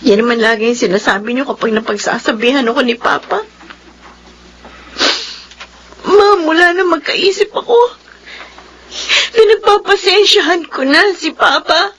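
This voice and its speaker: A woman's voice: high-pitched, normal speed, a bit bright, slight background noise, rough recording. A woman playing a defiant, anguished young daughter in a Filipino radio drama, emotional and pleading. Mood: aggrieved. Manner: emotional, pleading, tearful outbursts. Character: defiant, anguished young daughter pushed toward law school.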